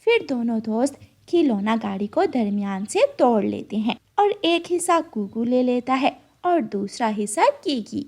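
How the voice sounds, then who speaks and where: A woman's voice: on the high side, animated, moderate pace, hardly any pauses, a little mellow. A woman reading scripted narration for a children's moral story as the storyteller.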